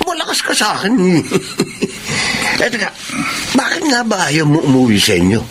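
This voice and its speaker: A man's voice: high voice, wildly swooping pitch, normal speed, background noise. An actor playing a sly, lecherous man in a Filipino radio drama, over-friendly and oily in manner. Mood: jovial, sly. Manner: exaggerated, oily, chuckling. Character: sly, lecherous male drinking buddy, over-friendly and fawning. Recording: radio drama with music underneath.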